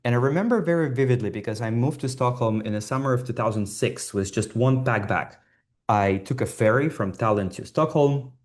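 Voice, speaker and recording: A man's voice: medium pitch, fairly quick. A man, a designer telling his own story informally and candidly in an online talk. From Estonia. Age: 40s. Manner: candid, self-deprecating, swears casually.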